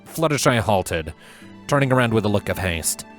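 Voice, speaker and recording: A man narrating a scripted story in a measured, dramatic storytelling manner. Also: fairly low pitch, animated. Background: music.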